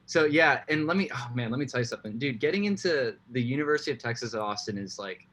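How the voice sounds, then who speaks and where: A man's voice: medium pitch, expressive, fast talker, fairly bright tone. A young man from Mexico City and Dallas, a guest in a casual interview over a video call, rambling with lots of fillers. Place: home room.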